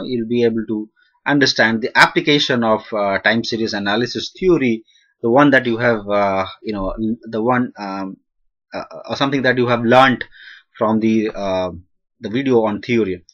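A man's voice: medium pitch, a bit bright, muffled recording. A young man with an Indian accent, his first language not English, answering viewer questions in a recorded slide lecture, full of "uh" fillers and restarts. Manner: hesitant, many "uh" fillers and restarts. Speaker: analytics instructor.

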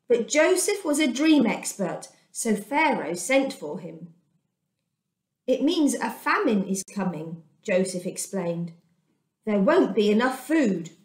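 A woman in her fifties reading a children's storybook aloud in a deliberate, expressive way, recorded indoors in a room at home. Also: on the high side. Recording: echoey domestic room.

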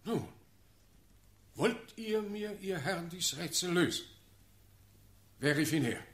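An actor playing a stern, self-assured ruling prince in a classical verse radio drama, declaiming with measured authority. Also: male voice, sits high, animated, breathy edge, heavily acted. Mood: commanding, questioning.

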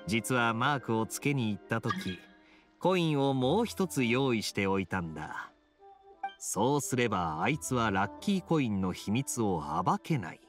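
An actor voicing a suave, teasing young knight in a video game, with a smooth, playful, unhurried delivery. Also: male voice, medium pitch, fairly bright tone. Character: suave, teasing young male knight with sly gambler's wit. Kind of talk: video game dialogue acting.

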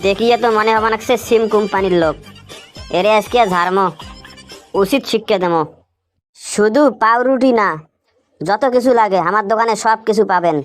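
A woman's voice: medium pitch, normal speed, pauses often, faint music behind, natural voice. A woman with a Rangpur Bangladeshi accent voicing a sly, argumentative shopkeeper in a comic cartoon, talking like a mock salesman. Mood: sly salesmanship. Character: sly, vengeful shopkeeper with absurd offers.

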